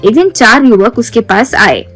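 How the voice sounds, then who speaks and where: Female narrator for a moral story, voice on the higher side, light music underneath. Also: animated, normal speed, fairly bright tone. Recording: music behind the voice. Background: background music.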